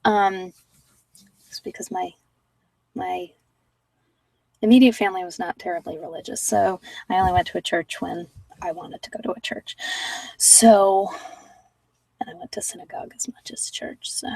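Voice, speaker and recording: Female ASMR meditation host, casual and rambling, soft-spoken with near-whispered moments, dry sound, slightly off mic. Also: fairly low pitch, fairly even intonation, moderate pace, creaky, long pauses, dry recording, recorded from a distance, poor audio. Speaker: host of guided meditation sessions.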